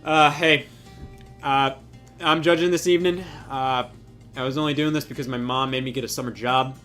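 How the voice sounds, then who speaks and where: A man's voice: mid-range voice, fairly even intonation, moderate pace, a bit bright, faint music behind, natural voice. Man playing a reluctant young trainee judge. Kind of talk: comedy script table read.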